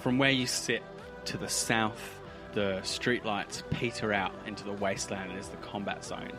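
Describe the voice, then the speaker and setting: A man's voice: fairly low pitch, steady tone, normal speed, over music. A man narrating a tabletop roleplaying game as game master, informal and descriptive.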